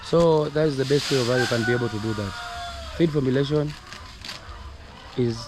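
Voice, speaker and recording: A hoarse man with a Kenyan accent, earnestly coaching viewers on his poultry farm in a repetitive manner. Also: mid-range voice, brisk. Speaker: poultry farmer. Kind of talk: casual instructional vlog. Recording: noisy outdoor recording with roosters crowing.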